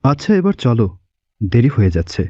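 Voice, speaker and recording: A man's voice: medium pitch, sing-song, brisk, warm tone, lightly acted. A man voicing an agreeable father in a scripted moral cartoon, in a muffled recording. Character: agreeable father of a young girl. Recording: muffled, with noticeable background noise.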